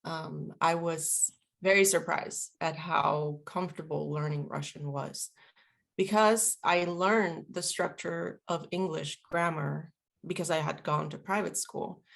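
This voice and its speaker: A woman's voice: low voice, fairly even intonation, unhurried, vocal fry, a bit bright. A woman of about forty from Puerto Rico, a native Spanish speaker, talking reflectively and casually in an interview with lots of fillers. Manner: reflective, chatty, many fillers. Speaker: guest, veteran and linguist.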